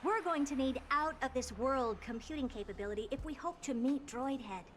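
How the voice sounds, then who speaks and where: A woman's voice: high-pitched, normal speed, slight background noise, natural voice. An actress voicing a self-assured genius woman scientist in a video game, with a haughty, offhand, dryly dismissive manner. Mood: businesslike.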